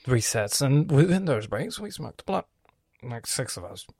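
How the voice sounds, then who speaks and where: A man's voice: mid-range voice, very animated, moderate pace, darkish tone, breathy edge, dry recording, studio quality. A man raised in Lebanon hosting his own podcast, talking in a loose, rambling monologue full of swearing and lost threads. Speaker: podcast host.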